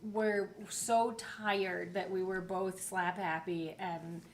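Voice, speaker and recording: A woman's voice: lowish voice, flat delivery, slow, a little hiss or hum. A woman with a giggly, playful manner, chatting casually as a podcast co-host. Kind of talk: casual chat.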